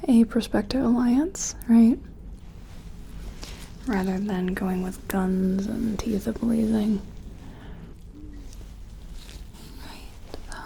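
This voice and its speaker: A woman playing a timid, awed scientist in a sci-fi ASMR roleplay, whispering and murmuring gently with hesitant, musing phrasing. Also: medium pitch, slight background noise. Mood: gentle, curious. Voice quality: breathy whisper mixed with soft, creaky murmur.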